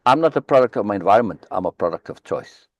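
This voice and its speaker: A man's voice: on the low side, expressive, fast, no room sound, muffled recording. A man from Africa, hosting a live book reading discussion on a video call, speaking emphatically and insistently and repeating key words for force. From South Africa.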